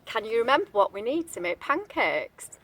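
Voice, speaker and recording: Animated high-pitched woman reading a storybook. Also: moderate pace. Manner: expressive, chatty asides and questions to child listeners. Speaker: storybook reader, teacher-like. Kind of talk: reading a picture book aloud to young children.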